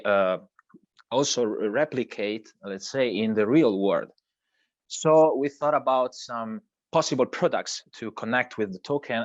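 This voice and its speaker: An Italian-native man talks through his work at a moderate pitch, stopping and restarting his sentences often. The audio is dull and lo-fi, with no room sound at all. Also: no room sound. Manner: hesitant, many fillers and restarts. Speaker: crypto project co-founder guest. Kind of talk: online video interview.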